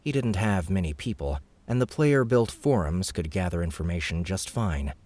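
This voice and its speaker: A man narrating an audiobook in a steady, measured storytelling manner, close to the mic. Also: low voice, dry recording. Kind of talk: scripted narration.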